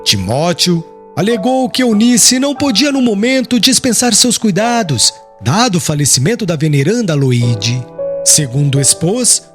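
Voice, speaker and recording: High-pitched man narrating solemnly over music. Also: fairly bright tone. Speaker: audiobook narrator. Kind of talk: scripted audiobook narration of a religious novel.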